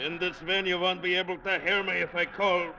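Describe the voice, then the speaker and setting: An actor playing a stoic, loyal sailor in clipped, dutiful 1940s radio style. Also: male voice, high voice, level tone, brisk, pushed and bright, dull audio, full character voice. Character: stoic, loyal sailor serving his skipper. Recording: noisy old radio recording.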